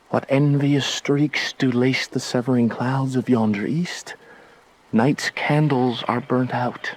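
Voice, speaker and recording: A man acts a romantic young lover with a mid-pitched voice and a very slow, lingering delivery. He speaks theatrical verse with fatalistic ardour, in the manner of a radio tragedy. There is faint noise behind the voice. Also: lightly acted, low-quality recording. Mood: wistful. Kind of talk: Shakespearean radio drama acting.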